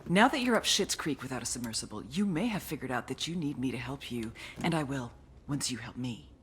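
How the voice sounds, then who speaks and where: Sardonic, low-voiced female intelligence agent. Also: lively intonation, fairly quick, a little hiss or hum. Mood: threatening. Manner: sardonic, blunt, foul-mouthed. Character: cynical, tough female intelligence agent briefing on cartel targets. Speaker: narrator. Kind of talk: scripted dramatic narration in a video game cutscene.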